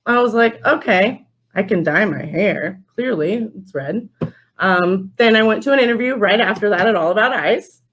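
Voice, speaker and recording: A young adult woman speaking as an interview guest, telling stories casually and acting out quoted conversations in an animated way. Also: mid-range voice, moderate pace, breathy edge. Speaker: podcast guest, store general manager.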